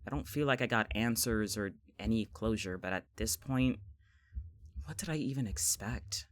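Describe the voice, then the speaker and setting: An actor playing a bitter, stubborn friend in an audio drama, speaking in a blunt, sardonic and natural conversational way. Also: male voice, on the low side, normal speed, no echo, studio quality, lightly acted. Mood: resigned, guarded.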